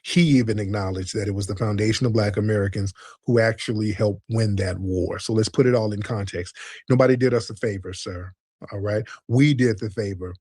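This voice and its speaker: A man's voice: on the low side, fairly even intonation, fairly quick, a little mellow, breathy edge, no echo, clean studio sound. A man hosting a live stream debate, lecturing callers in an insistent, interrupting way. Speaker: stream host.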